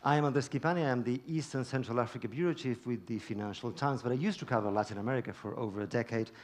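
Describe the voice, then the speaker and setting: Man moderating a conference panel. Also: mid-range voice. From Argentina. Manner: measured, deliberate with pauses. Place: conference stage.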